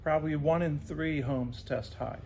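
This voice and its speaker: Gravelly-edged man explaining as an expert. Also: a little high, steady tone, normal speed, a little mellow, slight background noise. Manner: explains in simple terms, often tags sentences with "right?". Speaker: radon remediation specialist being interviewed. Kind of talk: explanatory interview answers.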